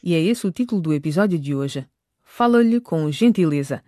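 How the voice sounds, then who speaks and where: Low, mellow female narrator, dry sound. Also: lively intonation, normal speed, dry recording, soft background music. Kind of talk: scripted radio drama narration.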